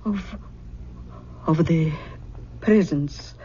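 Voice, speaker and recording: Practical older Scottish aunt, muffled recording. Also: female voice, sits low, extremely slow, dark tone. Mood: concerned. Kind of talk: 1970s radio drama acting. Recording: dull, faintly noisy 1970s radio recording.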